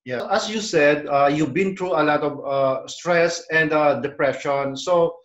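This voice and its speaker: A man co-hosting an interview, speaking haltingly with many fillers. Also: a little high. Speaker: interview co-host. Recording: dull, echoey sound.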